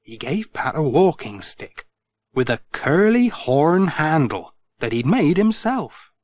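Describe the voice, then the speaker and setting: Slow, sing-song storybook narrator, a man. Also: a little high, no echo. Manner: gentle, expressive, sing-song. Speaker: audiobook narrator.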